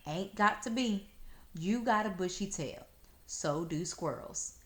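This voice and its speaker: A woman's voice: medium pitch, lively intonation, slow pace. Woman as a sassy, unimpressed little country girl. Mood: skeptical. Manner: matter-of-fact teasing in rural dialect.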